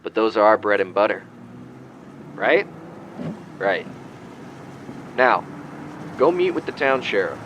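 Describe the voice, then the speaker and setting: Radio drama part, male actor: smug, teasing boss to a rookie, chatty delivery, loud outdoor ambience. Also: on the low side, big pitch swings, slow pace, pauses often, slight background noise, exaggerated voice. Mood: brisk, instructing. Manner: folksy, teasing, needling. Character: cocky male boss briefing a young intern. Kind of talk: conversational radio drama acting.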